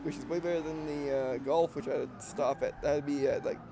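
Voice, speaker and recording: A man's voice: fairly high pitch, moderate pace, noisy background. A man with an accent mixing Southern and Russian features, rambling and correcting himself as he gives casual commentary on a racing game he is playing. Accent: mixed Southern and Russian. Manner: rambling, self-correcting, excitable reactions. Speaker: let's play gamer.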